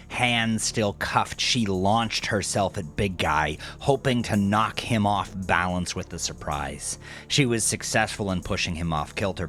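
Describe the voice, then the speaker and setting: A man narrating scripted horror fiction as a storyteller, with a deliberate, dramatic delivery. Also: low voice.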